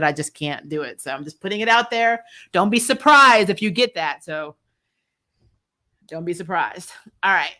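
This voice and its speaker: A woman with a bright, forward voice hosts a casual recap stream. She talks in a chatty, slangy way, as if to regular viewers. Her pitch sits in an ordinary middle range for a woman. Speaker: host of a TV recap show. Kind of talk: casual livestream review chat, reading viewer comments.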